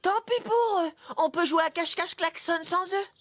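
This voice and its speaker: A woman's voice: extremely high pitch, moderate pace. A woman voicing a whiny, stubborn, childish young character in a children's cartoon, full of protest. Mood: bossy. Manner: whining, protesting.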